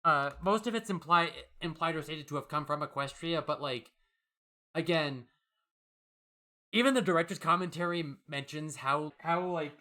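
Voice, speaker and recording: Bright, higher-pitched man chatting wryly. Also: moderate pace. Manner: wry, jokey. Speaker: podcast host. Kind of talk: casual chat and reading listener mail.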